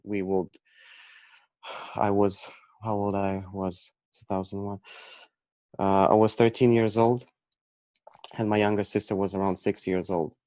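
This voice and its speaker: A man, talking as a guest about his own past in a halting way, with many restarts and fillers. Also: lowish voice, level tone, mellow, dry recording, muffled recording. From Ukraine. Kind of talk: personal storytelling.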